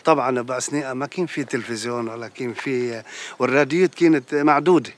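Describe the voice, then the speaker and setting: A man with a Levantine Arabic accent recalling memories casually, halting and repeating himself as he searches for words. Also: medium pitch. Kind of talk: casual conversational recollection.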